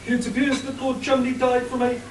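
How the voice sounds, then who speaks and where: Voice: medium pitch, completely flat delivery, a little fast, lightly acted. An amateur actor playing an earnest, officious police inspector in a radio play. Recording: roomy, distant, faint hiss.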